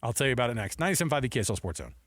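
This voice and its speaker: A man's voice: medium pitch, very animated, racing, a little hiss or hum. A man hosting a sports radio show in casual talk, often acting out imagined quotes.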